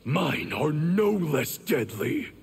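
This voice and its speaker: A man's voice: a little high, sing-song, slow, vocal fry, heavy background noise, heavily acted. An actor playing a proud, intense male ninja warrior in a video game. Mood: proud, challenging. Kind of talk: scripted game dialogue.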